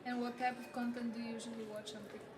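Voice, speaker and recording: Higher-voiced woman interviewer, noisy room. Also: little pitch movement, fast talker. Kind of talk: casual research interview questions.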